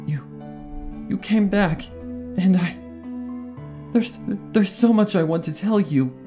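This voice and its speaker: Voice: mid-range voice, takes it slowly, music in the background, voice pushed up, lightly acted. A narrator voicing an emotional, tearful pony heroine in a dramatic story reading. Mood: overwhelmed.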